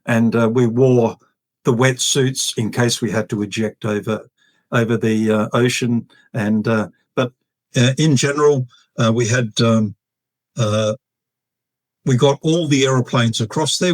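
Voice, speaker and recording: An Australian man in his sixties talks through his memories at an unhurried, halting pace. He is a guest in a relaxed interview and often pauses with ums and restarts. His middle-pitched voice sounds close and dry, with no room echo. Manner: halting, with many fillers and restarts. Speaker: guest, retired air force officer. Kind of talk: casual storytelling interview.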